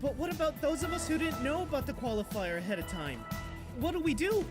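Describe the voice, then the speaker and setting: High-pitched young male student, voiced by a man, timid, worried, swooping intonation, game character, music behind. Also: very animated, brisk. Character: anxious young male student questioning his professor. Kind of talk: visual novel character voice.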